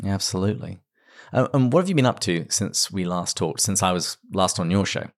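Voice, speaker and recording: A man hosting a podcast, reading a measured introduction and then chatting politely with his guest. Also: low voice, lively intonation. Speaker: podcast host. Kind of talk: scripted intro, then conversation.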